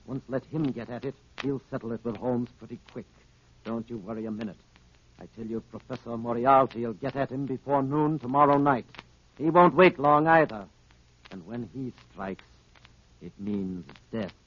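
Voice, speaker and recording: An actor playing a brutish, hot-tempered crook, blustering and threatening, in 1930s radio style. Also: male voice, medium pitch, normal speed, natural voice. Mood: grim. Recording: muffled, very noisy 1930s radio broadcast.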